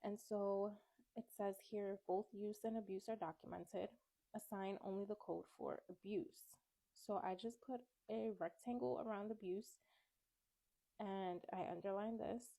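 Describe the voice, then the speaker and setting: A woman's voice: mid-range voice, steady tone, measured pace, warm tone, no echo. Young woman w a stuffy cold voice, chatty coding tutor, dry close sound. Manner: chatty, explains step by step. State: congested from a cold. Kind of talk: casual study walkthrough. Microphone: phone camera.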